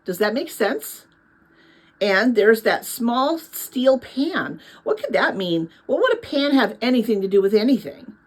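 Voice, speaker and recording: A keen young girl from a storybook read by a woman, practical and pressing. Her voice sits fairly high and swings with lively intonation. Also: measured pace. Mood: puzzled. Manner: keen, urgent.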